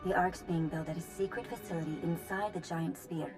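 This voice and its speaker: An actress playing a practical mission analyst in a video game, giving a matter-of-fact briefing. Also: female voice, fairly low pitch, fairly even intonation, brisk, music in the background, close to an everyday voice. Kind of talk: scripted game acting.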